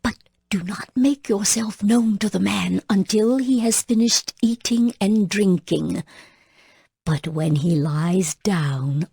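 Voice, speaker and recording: A woman playing an older, devout mother-in-law in a dramatized scripture reading, counselling with slow, earnest care. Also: mid-range voice, creaky, mellow, husky, no echo, clean studio sound, full character voice. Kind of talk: dramatized scripture audiobook acting.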